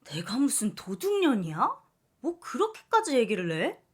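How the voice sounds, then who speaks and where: A woman's voice: on the high side, huge pitch swings, moderate pace, breathy edge, voice pushed up, exaggerated voice. A woman voicing a greedy, shameless middle-aged mother in a story, pushy and accusing. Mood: indignant. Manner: pushy, accusing, whining demands.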